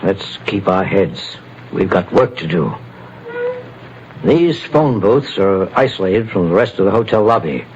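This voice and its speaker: Cool-headed detective boss, measured, muffled old radio sound. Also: male voice, low voice, lively intonation, a little mellow. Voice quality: measured, authoritative. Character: calm, commanding male private investigator. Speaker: detective lead. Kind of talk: 1940s radio acting. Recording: dull lo-fi old radio recording, faint hiss.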